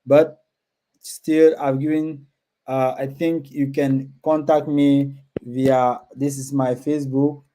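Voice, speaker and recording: A man with a dark, mellow voice at a middle pitch hosts a casual live stream. He speaks to his viewers informally and often repeats words and short phrases as he goes. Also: flat delivery, slow, slight background noise, rough recording. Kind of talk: casual livestream chat, reading and answering viewer comments.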